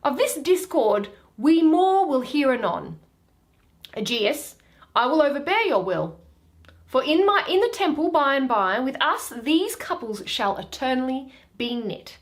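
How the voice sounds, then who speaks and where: A woman's voice: high voice, sing-song, measured pace, full character voice. A woman reading Shakespeare, voicing a gracious, decisive Duke of Athens in stately declamation. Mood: gracious, commanding.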